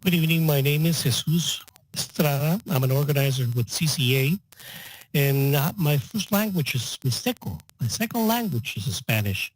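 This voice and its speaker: A man interpreting public comment at a meeting, delivering it in halting, piecemeal phrases. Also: on the high side, lively intonation, low-quality recording.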